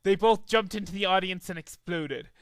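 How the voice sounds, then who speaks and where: A man's voice: sits high, moderate pace, clear, resonant tone, dry recording, clean studio sound. A man reading a story aloud as a dramatic amateur narrator, sometimes stumbling over the words. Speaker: amateur fan fiction reader.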